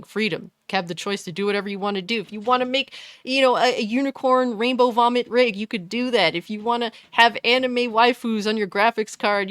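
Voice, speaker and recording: A woman with a fairly deep voice giving a blunt, scornful, profane commentary rant. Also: fairly bright tone, no room sound, studio quality. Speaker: commentary YouTuber. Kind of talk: ranting commentary.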